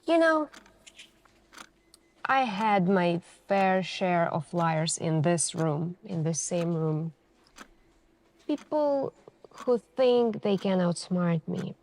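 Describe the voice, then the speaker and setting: A woman doing an ASMR roleplay as a suspicious female detective, questioning in a deliberate, pointed way with many pauses. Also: on the low side, lively intonation, darkish tone, slight background noise. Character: calm, suspicious, probing female police detective. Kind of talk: ASMR interrogation roleplay, slow deliberate delivery.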